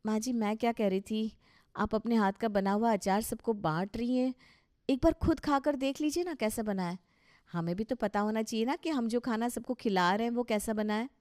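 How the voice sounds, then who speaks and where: Cartoon daughter-in-law voiced by a woman: young, respectful, anxious, earnest, fairly high pitch. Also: normal speed, darkish tone, no echo, studio quality, close to an everyday voice. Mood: earnest, worried. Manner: earnest, questioning. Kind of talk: Hindi cartoon story dialogue.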